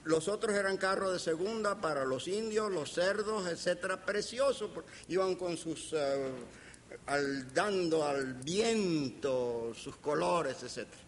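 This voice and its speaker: An older man with a Caribbean Spanish accent gives an anecdotal lecture as a professor, deliberate, pausing and playfully humorous. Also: sits high, lively intonation. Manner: deliberate, with pauses and playful humour. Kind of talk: anecdotal academic lecture to an audience. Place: lecture hall. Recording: reverberant hall, background hiss.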